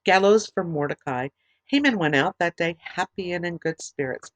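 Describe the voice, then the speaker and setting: A middle-aged woman teaching a Bible study, reading scripture aloud in a plain, steady way, her voice tired and fading late at night. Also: lowish voice, dry recording. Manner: plain, steady reading with small halting restarts.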